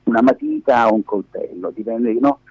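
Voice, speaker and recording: A middle-aged man speaks as a radio guest, with a husky, lowish voice that rises and falls in a lively way. He explains things emphatically and digresses often, in spontaneous conversation. The phone audio is dull and echoey. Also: moderate pace, soft background music. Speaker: interview guest, media activist and lab director. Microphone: phone held against face.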